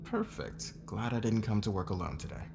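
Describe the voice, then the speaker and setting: A man's voice: fairly low pitch, wildly swooping pitch, normal speed, creaky, full character voice. A man playing a curt, sarcastic, demanding fashion magazine boss in a scripted audio roleplay. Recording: noisy background ambience.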